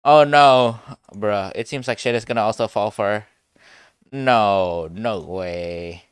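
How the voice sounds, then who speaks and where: Low-voiced man, casual streamer, dry mic. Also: slow, clear, resonant tone, no room sound. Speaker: game streamer. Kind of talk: casual live reaction commentary.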